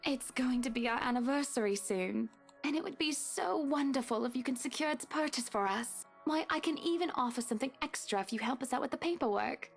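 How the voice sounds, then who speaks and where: Casting: high-pitched, moneyed married lady, syrupy and condescending, visual novel game. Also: creaky, soft background music. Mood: condescending, pushy. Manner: saccharine, patronizing, drawn-out. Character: wealthy, condescending married woman, sugary and pushy.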